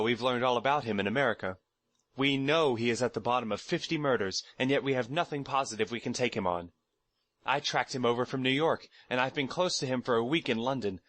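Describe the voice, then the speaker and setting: A man playing a quiet, businesslike young American detective, matter-of-fact and determined, in an audiobook story. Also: medium pitch, no room sound, natural voice.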